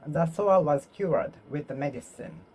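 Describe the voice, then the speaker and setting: Solo learner, Japanese native, slow and halting, drills each phrase over and over. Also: mid-range voice, darkish tone, husky, rough recording. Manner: repeats phrases, halting and self-correcting. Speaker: English learner. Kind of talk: solo speaking practice, talking to oneself.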